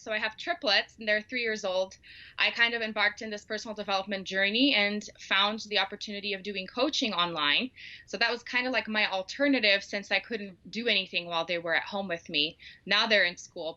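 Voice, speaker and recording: A woman in her thirties with a Brazilian Portuguese accent, a guest on a podcast. Her voice is very bright and sharp but sounds dull and lo-fi over a remote line. She talks in an eager, rambling way. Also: mid-range voice. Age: 30s. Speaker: guest, mom coach. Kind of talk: casual interview talk.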